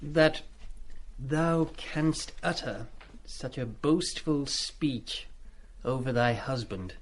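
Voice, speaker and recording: An actor playing a polite, nervous teenage schoolboy in a radio play, with an eager, deferential, slightly awkward manner. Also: male voice, mid-range voice, drawn-out words, poor audio. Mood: declaiming.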